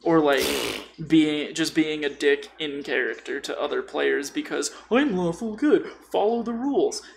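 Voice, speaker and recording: A man's voice: on the high side, animated, unhurried, creaky, slight background noise. A man chatting casually on a podcast about tabletop role-playing, informal and rambling, swearing freely. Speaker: podcast co-speaker.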